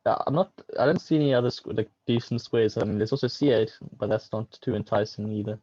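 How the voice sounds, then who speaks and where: A man's voice: fairly low pitch, quick pace, warm tone, muffled recording, slight background noise. A man co-commentating a chess match, working through move sequences aloud in a thoughtful way. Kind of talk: chess commentary, calculating variations.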